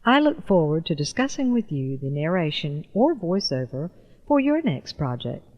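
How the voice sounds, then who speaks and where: A woman narrator with a fairly low voice, reading from a script. Her pitch moves expressively, and the sound is dry and close, as in professional voice-over. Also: sing-song, normal speed, dry recording, lo-fi sound. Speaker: voice-over artist and audiobook narrator.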